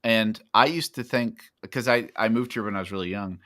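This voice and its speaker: A man's voice: lowish voice, a little fast, fairly bright tone. A man from Texas co-hosting a casual show, telling stories with an upbeat, easy-laughing manner. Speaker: co-host. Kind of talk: casual chat.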